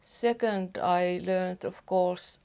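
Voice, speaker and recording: A woman with a Finnish accent, chatting casually at home as a beauty vlogger, soft-spoken and rambling with small restarts. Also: lowish voice, steady tone, slow pace, clear, resonant tone. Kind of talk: casual get-ready-with-me chat. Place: home apartment.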